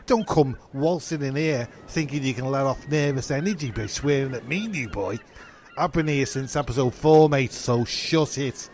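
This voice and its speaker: Male, vain and touchy island castaway played by a man, mid-pitched, sarcastic sweary comedy banter, faint noise behind. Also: normal speed, a little mellow, close to an everyday voice. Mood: scornful. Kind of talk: comic audio drama acting.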